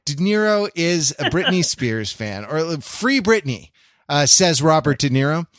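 A male podcast host with a slightly high, lively voice that moves around a lot. He chats casually and wanders into mock-earnest, wry digressions. The sound is dry, with hardly any room in it. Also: unhurried, no room sound. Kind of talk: casual humorous pop culture chat.

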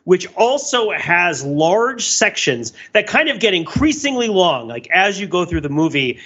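A man with a fairly high, very bright and forceful voice, thinking aloud as a podcast panelist. He rambles analytically through ideas with animated asides. The audio is slightly dull. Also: measured pace, breathy edge. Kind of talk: discursive film and music discussion.

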